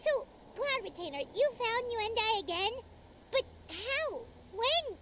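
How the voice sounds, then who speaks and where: A tiny childlike fairy from a video game, excitable and inquisitive. The voice is extremely high and squeaky, swooping up and down, with loud noise behind it. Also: female voice, takes it slowly, heavily acted. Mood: confused, excitable. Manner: squeaky, inquisitive, calls self by name. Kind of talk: scripted video game voice acting.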